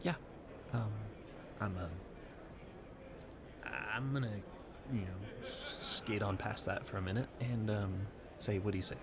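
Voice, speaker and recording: Man acting a flustered, low-voiced romantic gentleman. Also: slow pace, pauses often, noisy background. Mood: admiring, flustered.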